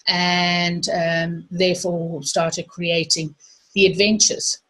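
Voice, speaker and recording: South african lady hosting a webinar, kinda low husky voice, lots of ums. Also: level tone, slow, fairly bright tone. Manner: hesitant, with frequent ums and restarts. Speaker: webinar host. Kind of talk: casual webinar presentation.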